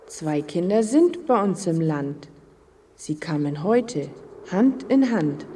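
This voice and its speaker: A woman voicing a solemn magic gate that speaks in measured rhyme, in a German children's audio play. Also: lowish voice, big pitch swings, mellow. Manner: measured, solemn rhyming verse. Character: ancient talking magic gate, solemn rhyming guardian.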